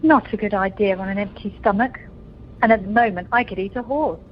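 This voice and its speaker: A woman acts out a tetchy new bride, let down and short with her husband, in an amateur radio comedy. Her mid-pitched voice comes over a dull line with faint noise. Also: fairly quick, close to an everyday voice. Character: tetchy, disappointed female newlywed wife. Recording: muffled conference call, faint noise.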